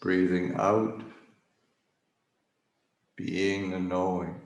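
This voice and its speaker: A man leading a guided meditation as a Buddhist teacher, speaking haltingly with long pauses as he searches for words. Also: low-pitched, level tone. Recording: roomy, echoing livestream recording.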